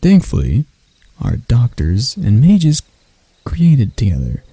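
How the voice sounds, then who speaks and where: A man playing a proud, mocking elf royal in an ASMR roleplay, speaking in a soft, breathy, husky voice with dramatic pauses. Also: mid-range voice, huge pitch swings, no room sound, exaggerated voice. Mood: bitter, aggrieved.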